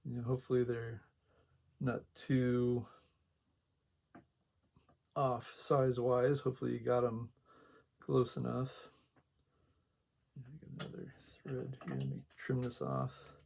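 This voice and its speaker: A quiet-voiced man giving a casual, unhurried hands-on tutorial, talking along as he works, with a gravelly voice. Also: mid-range voice. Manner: unhurried, talks along while working. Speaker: craft tutorial host.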